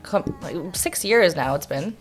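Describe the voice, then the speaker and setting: A woman's voice: fairly low pitch, lively intonation, a little slow, soft background music. A woman guest on a studio podcast, chatting casually, filling her talk with "like" and acting out her own thoughts. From Toronto area, Canada. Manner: chatty, uses "like" often, quotes herself. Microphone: studio mic with headphones.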